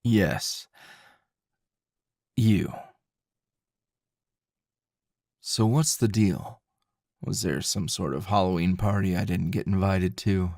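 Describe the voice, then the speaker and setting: A man playing a grumpy middle-aged teacher in an intimate ASMR roleplay, a teasing, possessive lover with a low, gravelly, husky murmur and a slow drawl. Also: a little mellow, no echo, clean studio sound, heavily acted. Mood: amused, teasing.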